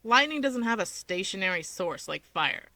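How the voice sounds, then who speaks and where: A woman voicing a big, gruff young man with a quietly tender side, terse and introspective, in a fan story reading. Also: mid-range voice, big pitch swings, moderate pace, dry recording. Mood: matter-of-fact.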